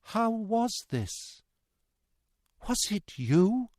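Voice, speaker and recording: Man playing a bewildered, broken old prisoner, raised pitch. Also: lively intonation, darkish tone, no room sound, clean studio sound. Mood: startled, frantic. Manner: halting, repetitive, agitated speech. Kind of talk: dramatic audiobook character reading.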